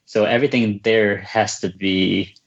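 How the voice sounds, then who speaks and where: A reserved, soft-spoken man from the United States, answering questions about his books in a casual interview with many fillers and restarts. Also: lowish voice, steady tone, a little slow, dull audio. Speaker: children's book author, guest.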